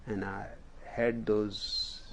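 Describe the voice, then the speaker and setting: A man with an Indian accent, a native Hindi speaker, telling a story as a spiritual teacher in a deliberate, unhurried way with long pauses. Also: fairly low pitch, level tone, a little hiss or hum. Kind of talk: slow storytelling discourse.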